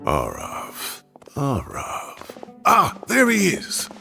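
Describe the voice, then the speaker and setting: An actor playing a grumbling, fussy elderly retired scholar in a video game, with a gravelly voice. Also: male voice, fairly high pitch, huge pitch swings, extremely slow, over music, full character voice. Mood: searching. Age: older.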